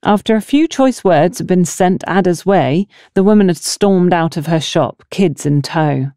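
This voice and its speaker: Female audiobook narrator, lowish mellow voice, animated storytelling delivery, dry close sound. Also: unhurried, no echo. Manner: expressive storytelling with acted dialogue. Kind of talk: scripted novel narration.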